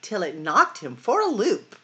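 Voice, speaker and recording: Female storytime host, middle-aged. Unhurried, very lively intonation, dramatic sing-song delivery for young listeners. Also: medium pitch, muffled recording. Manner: sing-song, dramatic emphasis and drawn-out words. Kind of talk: expressive picture-book read-aloud for children.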